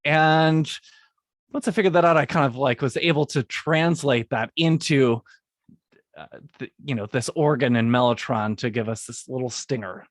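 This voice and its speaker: A man, a guest composer, recording semi-scripted podcast narration in an explanatory way with halting phrasing. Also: mid-range voice, dry recording, studio quality.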